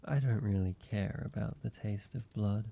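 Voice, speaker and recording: A man playing a lonely, courteous, softly wry vampire in a cozy audio roleplay, speaking in a measured, intimate way with pauses. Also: fairly low pitch, gravelly, highs cut off. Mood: casual, teasing. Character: lonely, gentle, teasing male vampire, centuries-weary and courteous. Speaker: amateur voice actor. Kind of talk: cozy scripted audio roleplay, addressed to one listener.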